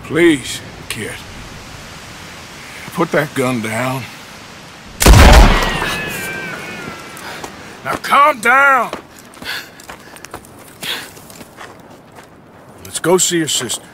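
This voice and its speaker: A man playing a weary, gruff outlaw cowboy with a dry Western American drawl in a video game cutscene. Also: fairly high pitch, wildly swooping pitch, slow, background noise, exaggerated voice. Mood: urgent, pleading. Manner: dry, plain-spoken drawl. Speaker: game voice actor.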